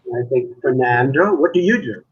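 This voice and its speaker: A New man chats and tells stories on a live video call, his voice husky and slightly breathy. The audio is a little dull and muffled. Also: medium pitch, animated, normal speed. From Manhattan. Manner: chatty storyteller, laughs often. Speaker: artist. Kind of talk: casual live-stream chat.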